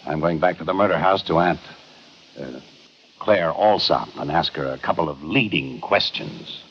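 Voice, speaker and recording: A man's voice: extremely low pitch, normal speed. A man playing a tough, sceptical police inspector in old-time radio crime drama, clipped and commanding. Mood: brisk. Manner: clipped, hard-boiled, commanding. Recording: dull vintage radio recording with noise.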